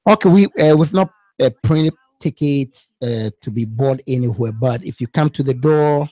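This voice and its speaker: A man's voice: mid-range voice, normal speed, dark tone, dry recording. A man from Ghana with a Ghanaian accent, a musician talking casually as a guest on a radio show, who often repeats words as he starts a thought. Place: radio studio.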